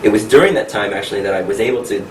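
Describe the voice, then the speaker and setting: Friendly American man, low voice, roomy. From United States. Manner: friendly, laughs often, speaks slowly and clearly. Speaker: pianist and vocal coach. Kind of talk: relaxed interview answers. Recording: echoey room recorded from a distance with noise.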